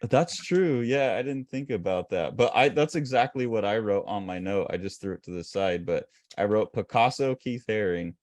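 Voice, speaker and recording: A man's voice: lowish voice, normal speed, hardly any pauses, a little mellow, dry recording. A man co-hosting a casual art discussion, talking loosely and eagerly with many fillers and restarts. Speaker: co-host.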